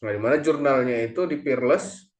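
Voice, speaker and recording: A man's voice: mid-range voice, normal speed. Echoey man giving an explanatory lecture. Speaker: accounting lecturer or presenter. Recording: echoey, reverberant room sound.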